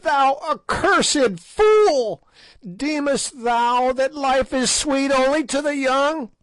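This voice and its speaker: Wicked ancient witch, voiced by a man: shrill, mocking, dry close sound. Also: animated, slow pace, fairly bright tone, dry recording, exaggerated voice. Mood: cackling scorn. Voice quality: shrill, cackling. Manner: screeching, mocking. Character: ancient shrieking female witch, wicked and gloating. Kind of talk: audiobook character voice.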